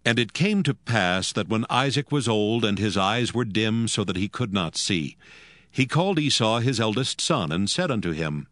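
Low, expressive male scripture narrator. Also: no room sound, studio quality. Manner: measured, formal storytelling.

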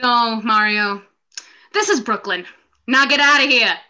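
A woman's voice: fairly high pitch, a little slow, bright, forceful tone. A young woman on a video call, dramatically reading the part of a smug, dismissive official. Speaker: amateur actor in a script reading. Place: small room at home, video call.

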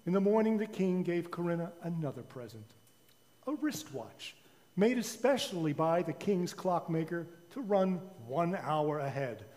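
A man tells a children's tale with theatrical pauses. His fairly high voice rises and falls dramatically, ringing through a large church sanctuary. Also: big pitch swings, a little mellow. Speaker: storyteller. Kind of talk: live children's storytelling. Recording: reverberant church hall.

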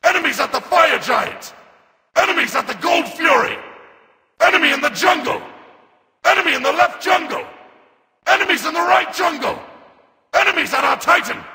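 An actor playing a grim, battle-hungry robotic reaper warrior in a video game, barking short, commanding callouts. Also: male voice, very high-pitched, moderate pace, creaky, pauses often, big-room sound, heavily acted. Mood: commanding, battle-fierce. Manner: forceful, barked short commands.

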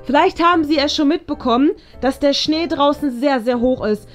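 Woman playing a high-voiced, apologetic school announcer. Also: moderate pace, faint music behind, lightly acted. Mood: urgent, apologetic. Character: apologetic school official making a public announcement. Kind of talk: school announcement in a comedy skit.

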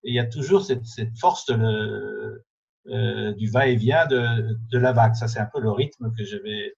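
Very raspy man on a dull line. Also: lowish voice, a little slow, darkish tone. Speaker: host. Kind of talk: online literary conversation. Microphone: video call. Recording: dull lo-fi video call audio.

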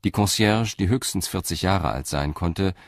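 A man narrating a radio play in an even, descriptive way. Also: deep voice, moderate pace, no room sound, clean studio sound. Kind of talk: scripted narration.

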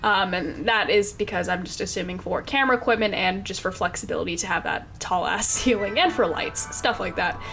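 A woman's voice: medium pitch, fairly quick, gravelly, few pauses, a bit bright, faint music behind. A young woman with a stuffy, congested voice from a cold, chatting casually and rambling as she narrates her game build, with lots of fillers and little laughs. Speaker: Sims speed-build creator.

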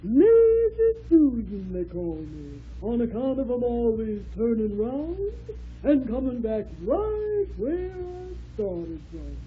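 A woman's voice: fairly high pitch, very animated, slow, a little hiss or hum. An actress playing a jaunty, folksy female ferryboat captain in an old radio children's drama, with a drawling, slangy manner. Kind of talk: 1940s radio acting. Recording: muffled, band-limited old radio recording.

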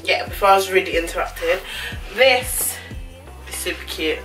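A woman's voice: fairly low pitch, moderate pace, recorded from a distance, over music, poor audio. A woman, chatting casually and gushing through a clothing try-on haul, with slangy asides. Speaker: fashion vlogger. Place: small bedroom indoors. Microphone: camera on a stand a few feet away.

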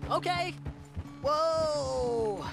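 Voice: sits high, expressive, drawn-out words, creaky, music in the background, full character voice. A performer playing a timid young boy in a video game, hesitant and obedient, with short answers. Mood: frightened. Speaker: game character. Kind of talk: video game cutscene acting.

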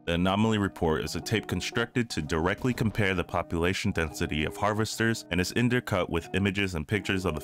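A man's voice: low voice, fairly bright tone. A man narrating a scripted analytical video essay.

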